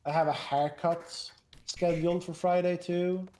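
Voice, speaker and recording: A man in his thirties chatting casually while gaming, relaxed and wry, thinking aloud. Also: high-pitched, unhurried. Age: 30s. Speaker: streamer. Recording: echoey room, faint noise.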